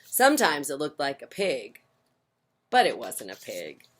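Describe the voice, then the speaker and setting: A woman's voice: a bass voice, wildly swooping pitch, a little slow, husky. A woman reading picture books aloud to children, playful and informal, with casual asides. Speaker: children's storyteller.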